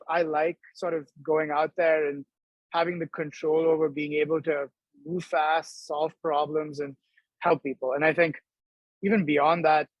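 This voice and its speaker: A young man from Dubai, a startup co-founder, talking quickly and animatedly as the guest in a video-call interview. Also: fairly high pitch, dry recording, rough recording. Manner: quick, animated, laughs between thoughts. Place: indoor room.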